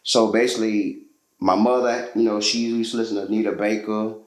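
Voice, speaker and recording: A man's voice: fairly low pitch, fairly even intonation, brisk, reverberant, low-quality recording. A man from Georgia, a musician telling his story as a guest in a casual interview, loose and slangy with lots of fillers.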